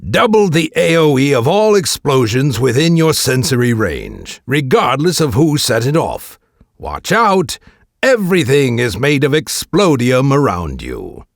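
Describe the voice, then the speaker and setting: A man's voice: medium pitch, huge pitch swings, unhurried, creaky, no room sound, clean studio sound, heavily acted. A man playing a hammy, playful video game announcer in an audio drama. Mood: playful, hyped. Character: excitable game announcer revealing new traits.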